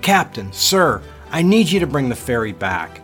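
A man voicing a smooth, pleading man who calls loudly from a dock. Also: medium pitch, very animated, normal speed, faint music behind. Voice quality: loud, pleading. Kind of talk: audiobook character voice.

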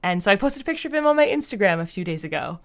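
A woman's voice: mid-range voice, sing-song, rapid-fire. A Canadian woman chatting informally as an interview guest, with lots of "like" in her casual speech. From Fredericton, New Brunswick, Canada. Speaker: podcast guest, audio drama creator.

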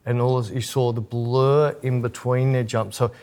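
A man with a gravelly, creaky edge to his voice, talking through a topic in an easy, chatty way. His pitch sits in a middle range, and he speeds up and slows down as he gets into the explanation. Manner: chatty, animated when explaining. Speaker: podcast co-host. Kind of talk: casual chat.

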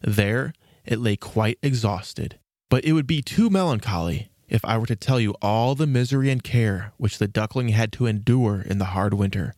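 A man narrating a classic fairy tale in an expressive, old-fashioned storytelling manner. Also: on the low side, moderate pace, dry recording, studio quality.

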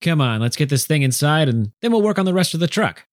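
A man's voice: a little high, sing-song, quick pace, dry recording, clean studio sound, voice pushed up. A male narrator voicing a friendly, easygoing young man helping his new neighbor.

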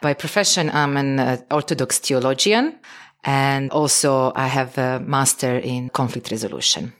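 A woman from Eastern Europe giving a casual personal account as a podcast guest, halting and frank. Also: rumbling low.

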